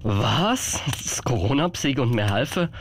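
A man's voice: medium pitch, big pitch swings, moderate pace, gravelly, background noise. A man with a Swiss German accent playing a kindly, flustered old Santa Claus in an amateur radio play. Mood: surprised, caring.

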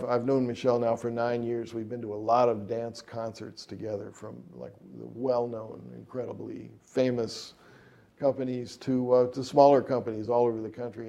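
Gravelly, low-key male jazz musician. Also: mellow, dull audio. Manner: rambling, many fillers and restarts. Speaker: jazz trumpet player and composer. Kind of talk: relaxed interview answers.

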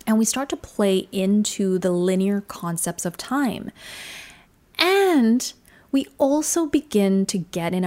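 A woman who was born in Singapore and raised in Australia, hosting a solo podcast and telling personal stories in an earnest, reflective way. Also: mid-range voice. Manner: earnest, reflective, shifts pace often. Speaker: podcast host.

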